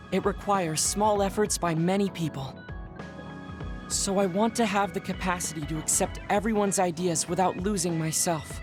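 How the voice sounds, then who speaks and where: A woman voicing a video game character, a small, child-looking man decades older than he seems, with formal, measured, earnest diction. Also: fairly low pitch, close to an everyday voice. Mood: resolved. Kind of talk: dubbed video game cutscene acting. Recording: music behind the voice.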